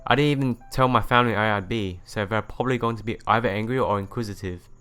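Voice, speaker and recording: A man's voice: on the low side, fast talker, a bit bright, clean tone, soft background music. A man hosting a let's play, reading visual novel narration aloud and sometimes stumbling and correcting himself.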